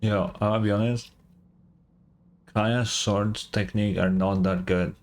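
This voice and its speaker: A man's voice: fairly low pitch, fairly even intonation, slow. A man doing casual live gameplay commentary as a streamer, talking in an informal, slangy, wry way. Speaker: game streamer.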